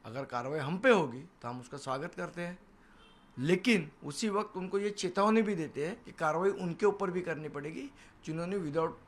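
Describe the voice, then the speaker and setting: Assertive high-pitched man. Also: normal speed. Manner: assertive, defiant, emphatic repetition. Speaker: political party leader and spokesman. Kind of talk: television news interview answers. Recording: noisy location sound, background ambience.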